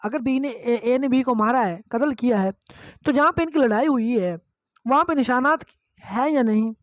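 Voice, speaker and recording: A woman's voice: a little high, normal speed, no echo, clean studio sound. A woman with a Pakistani accent lecturing on law, reading out phrases and explaining them didactically, switching between two languages and repeating herself. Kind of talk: lecture reading statute in English and explaining it line by line.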